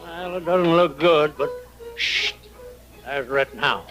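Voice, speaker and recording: Nervous frontier mayor, hesitant, highish voice, old radio western, faint music. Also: male voice, takes it slowly, a bit bright, poor audio. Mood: uneasy. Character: uneasy, cautious small-town western mayor.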